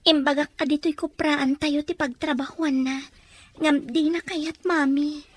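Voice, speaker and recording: A woman's voice: sits high, steady tone, a little slow, dry recording. A woman playing a lovelorn, clingy young student with a Filipino accent in an audio drama. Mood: wistful. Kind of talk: Ilocano audio drama acting.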